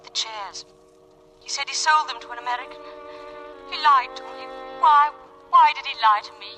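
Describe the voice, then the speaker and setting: An actress playing a refined, anxious, doubting young wife, earnest and hesitant, in 1940s radio drama style. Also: female voice, high voice, normal speed, bright, forceful tone, soft background music, rough recording. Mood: troubled. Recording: vintage radio drama broadcast.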